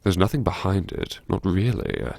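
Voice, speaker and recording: Male actor as brooding wry man, audiobook monologue, low gravelly voice, theatrical pauses, sardonic self-lecturing. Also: big pitch swings, a little mellow, dry recording, heavily acted. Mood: bitter self-reassurance. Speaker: audiobook narrator. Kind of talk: dramatic first-person audiobook monologue.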